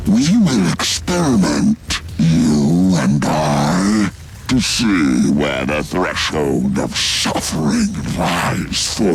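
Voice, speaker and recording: A sadistic mad scientist villain from a video game. He delivers grandiose taunts at a slow pace, swinging wildly in pitch with a gravelly creak. Loud music plays underneath. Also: a little hiss or hum, full character voice. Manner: grandiose, taunting monologuing with drawn-out words. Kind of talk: dramatic video game voice acting.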